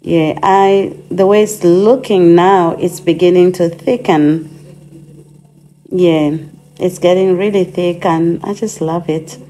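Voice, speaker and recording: A woman with a fairly low, slightly hoarse voice, recovering from a cold, talks her way through a recipe in her home kitchen. She chats casually and thinks aloud, filling pauses with ums. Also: steady tone, warm tone, slight background noise, poor audio. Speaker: host of a DIY channel. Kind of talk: casual cooking demonstration.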